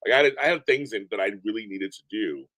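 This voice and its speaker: A deep-voiced man chatting as a podcast guest, animated and rambling, often repeating himself. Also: fast, no echo. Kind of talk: casual interview chat. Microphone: remote call from home.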